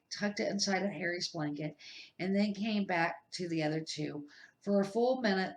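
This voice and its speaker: An older woman reading a children's storybook aloud as narrator, in a halting, unpolished way. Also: fairly low pitch. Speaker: storybook reader. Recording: echoey amateur room recording.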